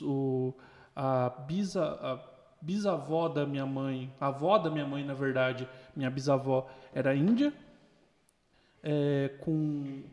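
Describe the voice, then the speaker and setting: A man with a Brazilian accent chatting casually as a podcast host, agreeable, halting and often correcting himself. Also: medium pitch, a little mellow. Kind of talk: casual chat. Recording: reverberant hall-like room.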